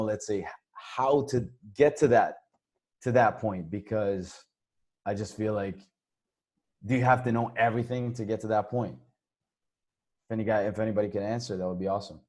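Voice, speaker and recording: Low-voiced man with a rambling question. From Brazil. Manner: rambling, halting, trails off quietly. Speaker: audience member asking the panel. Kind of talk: casual question to a panel. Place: home, under quarantine.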